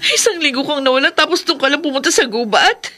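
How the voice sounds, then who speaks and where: A woman's voice: sits high, expressive, fast talker, pushed and bright. A woman playing a distraught, pleading wife in a melodramatic radio drama, urgent and emotional. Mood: frantic. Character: distraught, pleading wife afraid of losing her husband.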